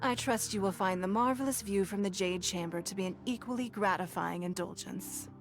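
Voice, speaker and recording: A woman performs a commanding, self-assured noblewoman for a fantasy game. The voice sits at a middle pitch, composed and eloquent, with formal, gracious phrasing. Music plays faintly behind. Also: fairly quick, natural voice. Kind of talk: scripted video game character acting.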